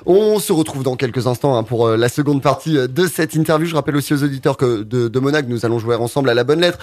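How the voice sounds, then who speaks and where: A man's voice: medium pitch, fast talker, darkish tone. A man hosting a radio interview, chatty and rephrasing his questions, with fillers. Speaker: radio host.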